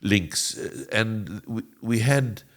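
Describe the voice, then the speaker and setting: A man's voice: lowish voice, takes it slowly. A man in his seventies, born in Egypt and raised in South Africa, Italian as first language, speaking as an interview guest who chuckles often and rambles with restarts. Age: 70s. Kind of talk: interview conversation.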